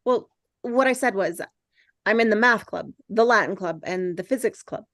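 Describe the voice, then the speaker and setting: An awkward, eager-to-belong schoolboy , acted by a woman in a drama read. The voice is medium-pitched and recorded dry, with stammering, rambling phrases and an earnest nerdy air. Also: normal speed, fairly bright tone, dry recording, close to an everyday voice. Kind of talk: script table read.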